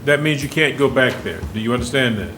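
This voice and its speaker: A man presides as judge at a courtroom bond hearing. He speaks at an ordinary pitch in a firm, procedural way and repeats his questions until he gets a clear answer. Faint background noise sits under his voice. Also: expressive, fast, a bit bright.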